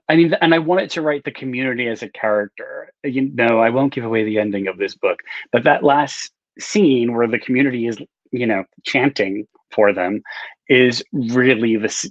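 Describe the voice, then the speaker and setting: A man's voice: mid-range voice, moderate pace, dry recording. A middle-aged man, a novelist telling personal stories casually on a video call, often restarting his sentences as he goes. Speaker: novelist, interview guest. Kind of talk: casual conversational storytelling. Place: home room with bookshelves, indoors. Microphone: video call, no visible microphone but earbuds. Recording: slightly dull webcam call audio.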